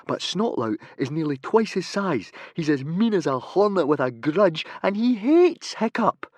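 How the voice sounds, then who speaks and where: A man's voice: fairly high pitch, sing-song, a little slow, dry recording, pitched above the performer's own voice. A man doing a worried, hissing boy. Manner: urgent hissing, half-whispered. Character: anxious young boy, sensible and worried.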